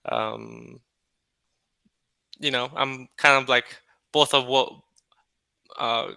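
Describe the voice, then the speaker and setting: Brief: friendly male tutor, bright crisp voice, dry close sound. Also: medium pitch, no room sound. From California, United States. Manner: relaxed and friendly, many fillers and pauses. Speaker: English tutor. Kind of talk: casual video-call conversation. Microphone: video call.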